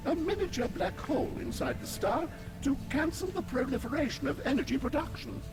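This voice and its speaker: A man's voice: very high-pitched, big pitch swings, a little fast, over music, exaggerated voice. A man voicing a fussy, formal alien scientist in a video game, speaking in verbose, pedantic phrases. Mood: earnest, explanatory. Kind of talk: scripted video game character acting.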